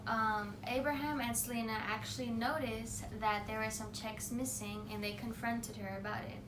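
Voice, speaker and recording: A young woman delivers a presentation speech in an earnest, slightly halting way, as if reading from notes. Her voice sits a little high. She is heard from a distance in a roomy, echoing space with clear background noise. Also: steady tone. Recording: echoey room, voice from off the mic, noisy.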